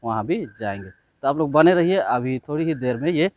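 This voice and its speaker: A man's voice: medium pitch, expressive, moderate pace, a little mellow. A man, giving casual vlog commentary to his viewers. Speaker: vlogger. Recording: music behind the voice.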